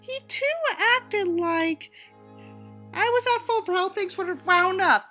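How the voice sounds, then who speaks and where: A woman's voice: very high voice, unhurried, bright, forceful tone, soft background music, voice pushed up, full character voice. A woman voicing a wounded, pleading teenage girl in an audiobook, earnest, plaintive and melodramatic. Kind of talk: audiobook character reading.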